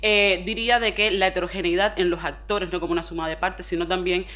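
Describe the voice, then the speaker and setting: A woman from Cuba with a Cuban Spanish accent, speaking on a forum panel in an expressive, chatty way, often ending phrases with quick tag questions. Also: on the low side, a little hiss or hum. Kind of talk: panel discussion talk.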